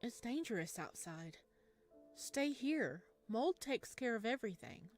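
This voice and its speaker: A woman's voice: a little high, very animated, a little slow, soft background music. A woman voicing a timid, clingy, childlike male mold creature from a game, talking simply about himself in third person. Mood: anxious, possessive.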